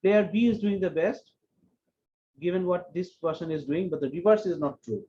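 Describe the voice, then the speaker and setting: A man teaches economics over a video call, repeating each key phrase slowly and carefully. His voice sits high for a man. The call audio sounds dull and lo-fi. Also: dark tone, a little hiss or hum. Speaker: economics lecturer. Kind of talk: online university lecture.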